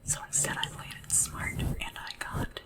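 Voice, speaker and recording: A performer whispering in a breathy, soothing, chatty way, playing a gentle sleep assistant to royalty in an ASMR roleplay. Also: moderate pace, far from the mic. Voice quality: breathy, mostly unvoiced whisper. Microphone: close ASMR microphone, ear to ear.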